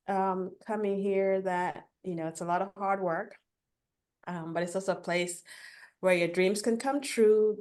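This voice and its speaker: Animated woman guest telling her story. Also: mid-range voice. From Africa. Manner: animated, laughs between points. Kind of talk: casual interview storytelling.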